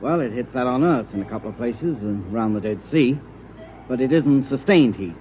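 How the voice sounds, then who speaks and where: An actor playing a deferential junior spaceship officer, matter-of-fact and brisk, in 1950s radio drama style. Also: male voice, mid-range voice, animated, warm tone, lightly acted. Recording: muffled vintage radio, faint noise.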